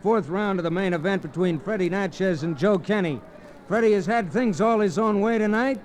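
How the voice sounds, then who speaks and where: An actor playing an urgent, clipped ringside radio announcer calling a boxing match in 1940s radio style. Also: male voice, sits high, normal speed, close to an everyday voice. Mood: announcing. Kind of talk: 1940s radio sports commentary. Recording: noisy old radio recording with crowd roar.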